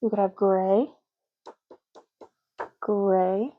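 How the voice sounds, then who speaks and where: A woman doing a soft-spoken ASMR roleplay, talking slowly and casually and often repeating words in a deliberate way. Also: mid-range voice, fairly even intonation, phone-quality sound.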